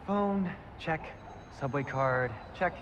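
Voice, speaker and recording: A man's voice: on the high side, slow pace, fairly bright tone, muffled recording, slight background noise. A man playing an upbeat, supportive teenage boy best friend in a video game scene. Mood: excited, teasing. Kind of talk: video game cinematic acting.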